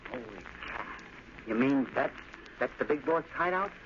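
An actor playing a nervous, slangy small-time crook in a 1930s radio drama. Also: male voice, medium pitch, animated, moderate pace, fairly bright tone, close to an everyday voice. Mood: curious, uneasy. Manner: nervous, slangy tough-guy talk. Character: uneasy, slangy low-level male gangster. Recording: muffled, hissy 1930s radio recording.

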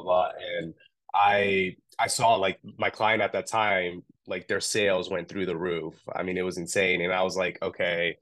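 Young man, low voice, dry close sound, casual interview guest, lots of filler words. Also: no echo. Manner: relaxed, rambling, many fillers like "um" and "like". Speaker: podcast guest, founder of a food retailer.

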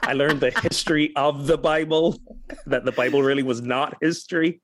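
A middle-aged man from Barbados with a Barbadian lilt, a minister telling his story as a podcast guest in animated, laughing bursts. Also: fairly high pitch, normal speed, a little hiss or hum. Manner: animated, laughs while telling stories, repeats words when starting a sentence. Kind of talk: conversational storytelling.

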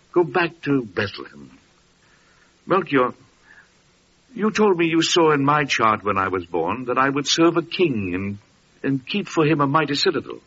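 An actor playing a restless, earnest wandering seeker in an old-time radio drama. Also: male voice, mid-range voice, fairly bright tone, slight background noise. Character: yearning, restless male seeker, wandering traveler. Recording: muffled vintage radio recording with music.

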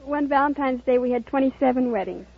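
A woman's voice: high voice, fast talker, a loud crowd, very noisy. A woman contestant answering a quiz host's questions on an old radio recording. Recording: dull old radio recording, audience behind.

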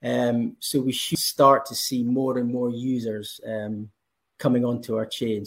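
A Scottish man speaks with a gravelly edge and an enthusiastic push. He talks at a middling pitch, breaking his sentences up with ums. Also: a little mellow. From Scotland. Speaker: company representative. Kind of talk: panel interview answers.

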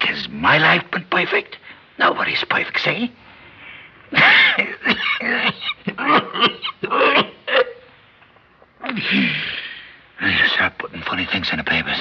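An actor playing a dying, bedridden old gangster who threatens and schemes in tough street slang, in a 1950s radio drama. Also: male voice, deep voice, breathy edge, full character voice. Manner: threatening, mocking, swings from whisper to shout. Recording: dull old radio broadcast recording.

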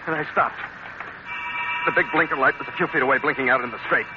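An American actor playing a tough sea captain in a 1940s radio adventure, speaking in a clipped, dramatic, hard-boiled way. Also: male voice, mid-range voice, quick pace, close to an everyday voice. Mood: tense. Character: tough male sea captain of a sailing ketch. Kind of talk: 1940s radio adventure acting, first-person narration. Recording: muffled, very noisy old radio recording.

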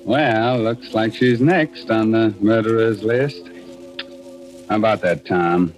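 Male 1940s horror radio host, lowish pitch, gleeful mock-macabre banter over soft music. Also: slow pace, low-quality recording. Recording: old radio recording.

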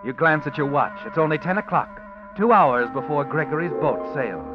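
A man's voice: fairly high pitch, lively intonation, normal speed, lightly acted. A man narrating a radio drama in an intense, insinuating second-person style, on a muffled old radio recording with music underneath.

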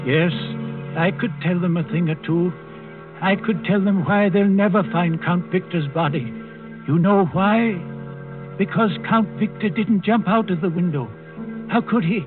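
An actor playing an old Danish servant and secret resister, telling his story deliberately in a 1940s radio drama. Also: high voice. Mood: grimly confiding. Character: old Danish servant, secret underground plotter, quietly defiant. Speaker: first-person storyteller in a radio play. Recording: muffled 1940s radio recording with music.

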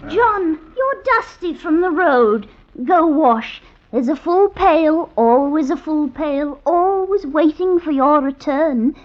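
An actress playing a scheming, sweet-talking wife in a coaxing, deliberate manner, in 1950s radio drama style. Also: female voice, high voice, expressive. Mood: sweetly coaxing. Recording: muffled vintage radio recording.

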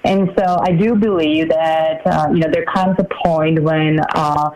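Woman from Slovakia, measured, halting. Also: low-pitched, fairly even intonation, darkish tone, poor audio. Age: 40s. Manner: measured, many uh fillers. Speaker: congressional candidate. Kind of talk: political interview.

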